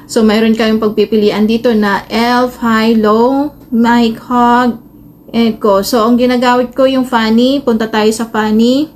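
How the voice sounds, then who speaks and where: A Filipino woman with a fairly high voice walks listeners through a phone tutorial. She explains casually and a little hesitantly, step by step. There is light noise in the amateur recording. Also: level tone, unhurried, darkish tone. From Philippines. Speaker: tutorial host, vlogger.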